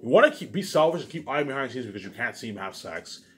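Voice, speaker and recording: A man's voice: medium pitch, very animated, quick pace. A man giving a rambling, casual monologue as a video maker, insistent, boastful and often repeating himself. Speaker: video maker talking to viewers.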